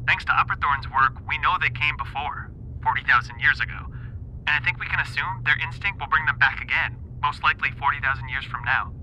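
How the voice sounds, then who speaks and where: An actor playing an eager, earnest professor in an audio drama, speaking deliberately over a satellite phone. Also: male voice, fairly high pitch, sharp tone, slight background noise, poor audio. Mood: grave, urgent. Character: eager male professor, earnest scholar warning of returning threat.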